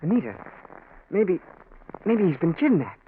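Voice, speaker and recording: Suspicious young fiancé, animated old-radio delivery. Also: male voice, high-pitched, measured pace. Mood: concerned, urgent. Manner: earnest, probing, urgent. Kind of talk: 1940s radio drama acting. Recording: tinny, hissy 1940s radio broadcast.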